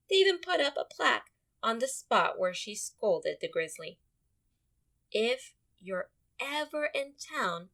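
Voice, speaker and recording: Bright-voiced woman teacher reading aloud. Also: on the high side. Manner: expressive, addresses young readers directly, repeats questions. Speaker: elementary school teacher. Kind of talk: children's read-aloud lesson with questions.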